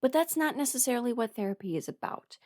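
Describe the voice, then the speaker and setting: A young adult woman talking frankly and haltingly in a candid personal ramble, often quoting her own thoughts as she goes. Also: fairly high pitch, animated, darkish tone, no echo, studio quality. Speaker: voice performer sharing a personal ramble.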